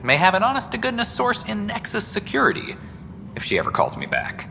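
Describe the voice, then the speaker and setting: An earnest, overworked young male reporter in a sci-fi video game. His voice is bright and mid-pitched, chatty and self-deprecating. It rings in a large hall with faint noise behind it. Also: huge pitch swings, brisk, vocal fry, full character voice. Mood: wry, hopeful. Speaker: news reporter. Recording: reverberant hall with background noise.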